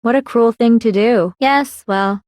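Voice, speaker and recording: A woman's voice: a little high, measured pace, darkish tone, no room sound, natural voice. Politely sinister girl mastermind played by a woman, dry sound. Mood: mock courtesy. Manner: formal, teacherly questioning. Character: coolly polite teenage girl mastermind, mock-courteous. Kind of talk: anime video game voice acting.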